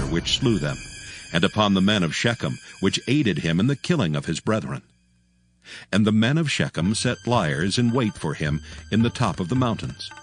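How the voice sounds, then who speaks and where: A man narrating a dramatized reading of scripture, with music behind him. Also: fairly low pitch.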